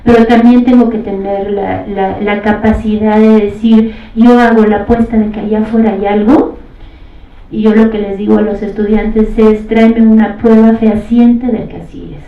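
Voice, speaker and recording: A woman who teaches, speaking on a discussion panel in a deliberate, reflective way, thinking aloud. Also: medium pitch, fairly even intonation. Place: roomy panel venue. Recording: echoey, distant mic, dull.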